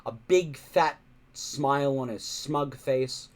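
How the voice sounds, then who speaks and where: A man's voice: mid-range voice, slow. A man reading a children's story aloud in a dramatic, emphatic narrating style. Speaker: audiobook-style story reader.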